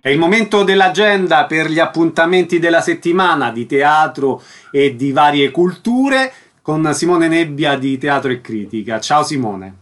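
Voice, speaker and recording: A man with a fairly high voice works as a radio host. He reads announcements and introduces segments in a presenter's style. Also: animated, normal speed, few pauses, fairly bright tone.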